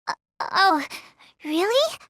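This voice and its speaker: A woman's voice: very high-pitched, big pitch swings, slow pace, a bit bright, no room sound, clean studio sound, exaggerated voice. A woman voicing a sweet, timid young nurse girl in an anime game, childlike and cutesy. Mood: uneasy. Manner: childlike, cutesy, stammering when flustered.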